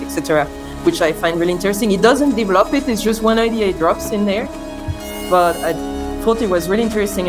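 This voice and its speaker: A young woman with a French accent speaks in a thoughtful, hesitant way, her low-pitched voice often pausing to restart or search for a word. Clear music plays underneath her. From France. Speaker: interview guest, sound artist. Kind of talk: reflective conversational interview.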